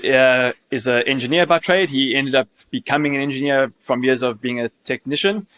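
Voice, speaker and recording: South African male, thirties, chiropractor guest, relaxed conversational answers, medium-pitched voice. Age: 30s. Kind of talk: casual conversational interview answers.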